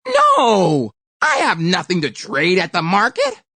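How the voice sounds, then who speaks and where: A woman's voice: lowish voice, huge pitch swings, bright, forceful tone, husky, no room sound, voice pushed up, full character voice. A woman acts out a greedy male trickster spider from a storybook. He pleads in a raised, wildly swooping voice and drags out his words with false sincerity. The sound is close and dry. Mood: pleading. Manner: drawn-out, pleading, insincere delivery.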